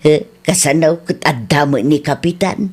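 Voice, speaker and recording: A woman's voice: deep voice, a little slow, darkish tone, breathy edge. A woman playing a stubborn, complaining village wife in a radio comedy drama, argumentative, with an Ilocano accent. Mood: indignant.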